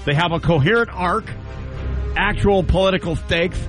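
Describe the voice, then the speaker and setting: Brief: slightly high-pitched male host, defending his side with conviction. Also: fairly bright tone, faint music behind. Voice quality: deep voice. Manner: argumentative, drawn-out filler "uh"s when hosting. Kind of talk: hosting and reading a scripted debate.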